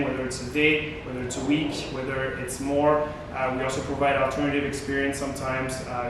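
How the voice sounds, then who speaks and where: Man, French accent, halting talk full of fillers, flat delivery, distant and echoey. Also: medium pitch. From French-speaking. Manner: halting, many "uh" fillers, laughs at himself. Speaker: charity communications manager. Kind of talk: informal talk to a members' group. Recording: distant mic in an echoey room with steady background noise.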